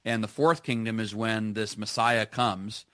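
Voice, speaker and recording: A man's voice: fairly low pitch, steady tone, measured pace, sharp tone, no echo, clean studio sound. A man as a guest on a live radio show, chatting enthusiastically with plenty of fillers and restarts. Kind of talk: casual radio conversation.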